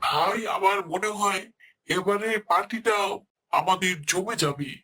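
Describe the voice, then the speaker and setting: A man voicing a greedy, excitable jackal, jolly and scheming, in a children's cartoon. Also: sits high, unhurried, breathy edge, heavily acted. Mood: eager scheming.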